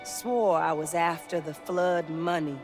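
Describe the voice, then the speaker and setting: A woman's voice: lowish voice, measured pace, natural voice. Actress as a reflective Southern mother, music behind. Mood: reminiscing. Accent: American South. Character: proud, tender Southern mother recalling her marriage. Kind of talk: reflective video game voice-over. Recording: music under the voice. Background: game music.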